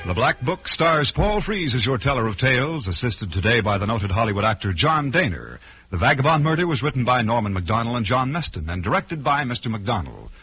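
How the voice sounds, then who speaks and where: A man's voice: mid-range voice, very animated, a little fast, sharp tone. A man working as a radio announcer, reading scripted lines in 1940s broadcast style. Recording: muffled old radio recording, music.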